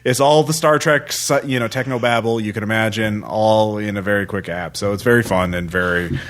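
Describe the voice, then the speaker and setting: A man hosting a podcast, chatting casually about games in a rambling, halting way. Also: on the low side, steady tone, fairly bright tone. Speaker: podcast host.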